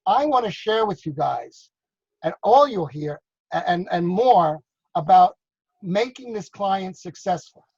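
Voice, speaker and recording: A man's voice: high voice, animated, measured pace, darkish tone, breathy edge, no room sound. A man from New York on a video call, talking loosely about drone work, repeating words and asking others to agree. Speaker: drone business consultant. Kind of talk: casual video call discussion. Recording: dull lo-fi call audio.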